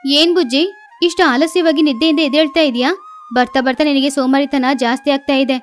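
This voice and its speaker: A woman's voice: high voice, fairly even intonation, a little fast, faint music behind, lightly acted. A woman voicing a cartoon mother sparrow who is bossy and talks in a nagging, scolding way.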